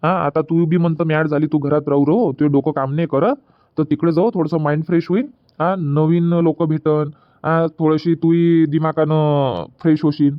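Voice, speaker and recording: A man's voice: fairly high pitch, steady tone, moderate pace, no room sound, phone-quality sound, lightly acted. A man voicing a young husband in a comedy cartoon, explaining plans at length with a Varhadi Marathi accent. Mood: planning. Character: young husband Suraj, easygoing son.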